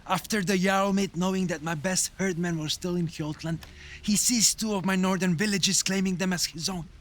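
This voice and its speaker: A man playing an embattled Viking jarl in a game, an earnest and measured ally with a coarse tongue. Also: sits high, steady tone, fairly bright tone. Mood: aggrieved. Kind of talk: cinematic game dialogue. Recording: studio voice over game music.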